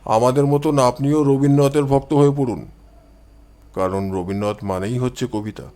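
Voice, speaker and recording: Give the detective , as acted by a male storyteller, a low, deep register. He is calm and confident, laying out his reasoning in measured phrases with quiet self-assurance, as in an audio mystery story. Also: lightly acted. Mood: calm, assured.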